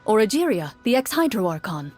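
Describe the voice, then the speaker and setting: An actress playing a composed, serious young woman who narrates a tabletop tale as game master, in a measured way. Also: medium pitch, big pitch swings, a little mellow, faint music behind, lightly acted. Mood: calm. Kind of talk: scripted game storytelling.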